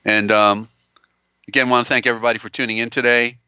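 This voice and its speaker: A man hosting a live-stream lecture, with a strained, tiring voice and a halting, meandering delivery. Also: mid-range voice, flat delivery, sharp tone, clean tone, no echo, dull audio. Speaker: live show host.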